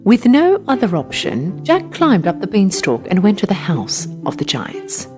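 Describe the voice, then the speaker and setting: Highly expressive woman storyteller over music. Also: measured pace. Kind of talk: scripted children's story narration. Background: background music.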